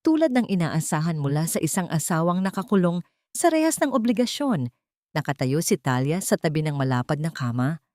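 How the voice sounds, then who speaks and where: A woman audiobook narrator with a distinctly low voice. She reads a scripted, dramatic story. The recording is dry, with hardly any room sound. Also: very animated, a little fast, darkish tone, no echo.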